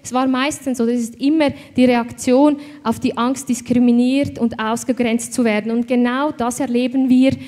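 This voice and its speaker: A woman's voice: fairly high pitch, steady tone, moderate pace, hardly any pauses, mellow. Swiss woman on a panel, echoing hall. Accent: Swiss German. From Switzerland. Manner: reflective, with frequent hesitations. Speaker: panel speaker. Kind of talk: panel discussion. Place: event hall. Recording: hall reverb.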